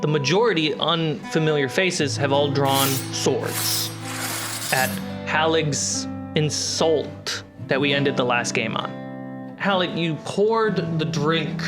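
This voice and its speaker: A man narrating a tabletop fantasy game as game master, in deliberate, suspenseful short phrases. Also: a little high. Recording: music behind the voice.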